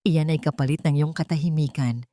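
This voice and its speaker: A woman voicing a cold, wealthy matriarch who speaks softly with menacing, controlled authority in an audio drama. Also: low-pitched, fairly even intonation, a little fast, dry recording, clean studio sound, lightly acted. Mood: cold, threatening. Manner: soft-spoken, controlled, commanding.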